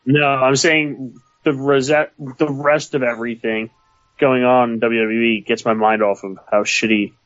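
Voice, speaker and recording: Sleepy guy on a muffled phone call, kinda halting, mid-pitch. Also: fairly even intonation, a bit bright. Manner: drowsy, halting. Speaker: podcast co-host. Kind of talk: casual chat. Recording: dull lo-fi phone audio.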